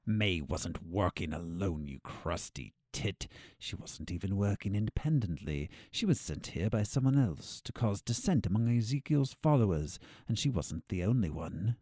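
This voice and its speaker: An actor in an audio drama playing a scheming, sardonic captive doctor with a condescending, drawn-out delivery. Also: male voice, low-pitched, lively intonation, dark tone, dry recording, clean studio sound. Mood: smug, conspiratorial.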